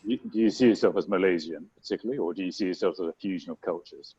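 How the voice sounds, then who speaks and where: Fast, husky male interviewer. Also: sits low, mellow, muffled recording. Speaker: interviewer host. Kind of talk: interview questions.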